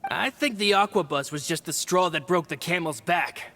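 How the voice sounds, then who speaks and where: An actor playing a composed, articulate guild aide in a video game, speaking in a measured, formal way. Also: male voice, sits high, pushed and bright. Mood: sober.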